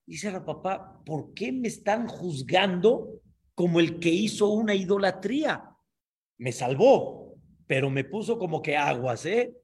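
A man leads a Torah class as its teacher. His voice sits high for a man, and he explains each point patiently, pausing to ask whether his listeners follow. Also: expressive, darkish tone. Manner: explanatory, checks understanding with frequent asides.

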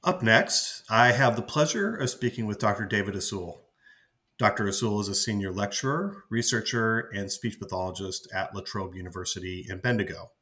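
Male podcast host, low-pitched, upbeat intros. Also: pushed and bright. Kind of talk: scripted host links and interview questions.

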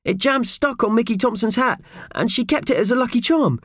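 A man voicing a small, excitable, anxious young male friend in a children's audiobook, eager and fretful. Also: very high voice, fairly quick, no echo, studio quality, pitched above the performer's own voice. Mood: anxious, apologetic.

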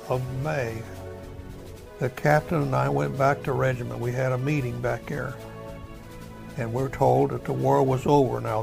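Very gravelly elderly American man. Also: mid-range voice. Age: older, over 70. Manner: folksy, rambling, searching for words. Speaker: war veteran. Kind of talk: oral history storytelling.

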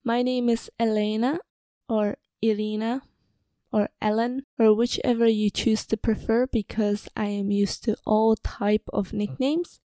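Mellow young woman podcasting. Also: fairly high pitch, little pitch movement, a little slow, dry recording, studio quality. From Czech Republic, moved to Ireland at twelve. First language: Czech. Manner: slightly nervous, hesitant, informal. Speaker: podcast host. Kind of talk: casual personal podcast monologue.

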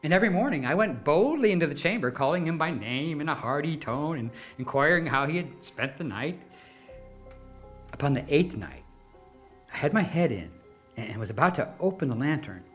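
A male actor plays a nervous, obsessive madman in a gothic horror tale, insisting that he is sane. The delivery is intense and theatrical, with halting phrases and a pitch that swings widely. Music plays behind the voice. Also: lively intonation, lightly acted. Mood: hushed, sly. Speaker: dramatic reader narrating a horror story.

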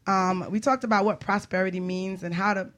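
Bright-voiced woman hosting casual talk radio. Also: mid-range voice, fairly even intonation, brisk. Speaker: radio show host.

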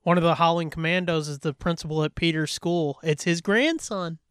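A man's voice: fairly high pitch, moderate pace, a bit bright, clean tone, no echo, clean studio sound. A man co-hosting a podcast, reacting casually with irreverent interjections. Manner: irreverent, crude joking interjections. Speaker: podcast co-host. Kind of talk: casual chat, reacting to a co-host's story.

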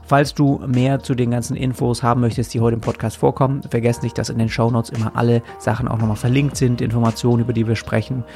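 Gravelly podcast host man. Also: medium pitch, level tone, quick pace, few pauses, darkish tone, soft background music. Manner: rambling, loose phrasing. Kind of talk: casual podcast hosting.

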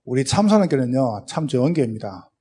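A man's voice: medium pitch, very animated, quick pace, mellow, slightly rough. A man pastor from Gyeongsang with a strong Gyeongsang accent, preaching a humorous sermon full of anecdotes and acted-out dialogue. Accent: Gyeongsang Korean. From Gyeongsang, South Korea. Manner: storytelling, acts out dialogue, speeds up and laughs.

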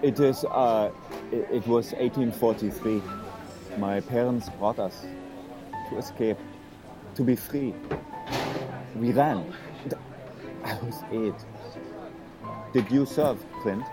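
A man acting an uneasy Prussian immigrant tradesman in his late thirties, speaking with a German accent in halting short sentences in a period drama, over music. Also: mid-range voice, natural voice. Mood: wistful, earnest.

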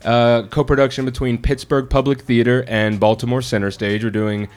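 A man's voice: medium pitch, flat delivery, moderate pace, darkish tone. A man in his thirties from New Orleans, a stage actor talking as a podcast guest, earnest and articulate. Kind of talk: casual chat.

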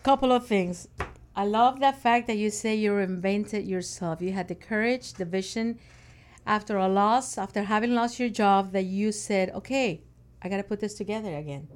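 A woman's voice: mid-range voice, normal speed. Upbeat woman hosting an interview show. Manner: upbeat, emphatic, many affirming fillers. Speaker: host.

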